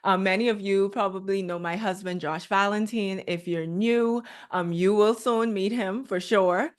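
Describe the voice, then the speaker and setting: A woman's voice: mid-range voice, steady tone, normal speed. A woman from the Bahamas with a Bahamian accent, a guest speaking earnestly and steadily with many ums on a video call. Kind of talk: online training call.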